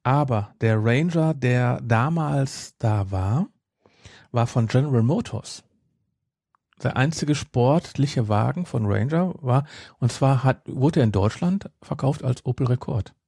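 A man co-hosting a fan podcast in a casual, explanatory way. His voice is soft-edged and husky, recorded close and dry, as he presses on through the details. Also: mid-range voice, expressive, a little slow, no room sound. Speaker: podcast co-host.

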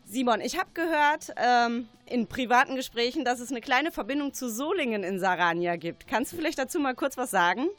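A woman's voice: on the high side, expressive, fairly quick, hardly any pauses, a bit bright, soft background music. A woman hosting a radio interview, addressing her guest and the listeners in an informal way.

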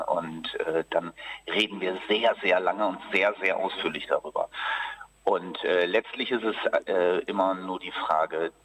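A man, an actor, talking through an interview in a relaxed, chatty way with many hesitations. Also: low-pitched, husky, distant mic, poor audio. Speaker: actor, theater group founder being interviewed.

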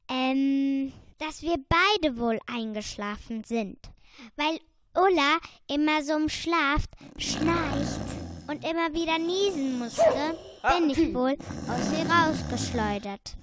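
Woman playing a high-voiced, chatty lost earworm. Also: expressive, slow, very noisy. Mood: musing. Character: lost chatty earworm, eager storyteller, wants to go home. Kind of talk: children's radio play acting.

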